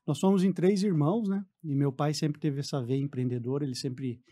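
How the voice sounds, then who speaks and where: Mellow southern Brazilian man, higher voice. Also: fairly even intonation, a little fast. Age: 40s. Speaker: interview guest, businessman. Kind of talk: casual storytelling in a radio interview. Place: radio studio.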